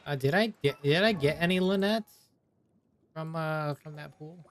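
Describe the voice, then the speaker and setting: A man's voice: fairly high pitch, normal speed, a little hiss or hum. A man giving casual live gaming commentary as a streamer, in muttered, offhand asides. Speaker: game streamer.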